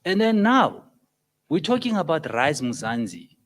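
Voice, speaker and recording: This is a South African man speaking up from the floor at a public meeting. English is not his first language, so his phrasing halts in places. He gets emphatic, climbing to loud peaks as he drives his points home. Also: a little high, big pitch swings, a little slow. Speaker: audience member. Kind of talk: impassioned public comment.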